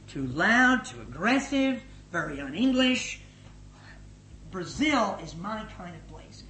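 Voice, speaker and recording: A middle-aged man giving a spoken report as a missions leader to a gathering, energetic and emphatic, swinging between loud and hushed. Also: fairly high pitch. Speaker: missions leader addressing his organisation. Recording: echoey room with faint noise.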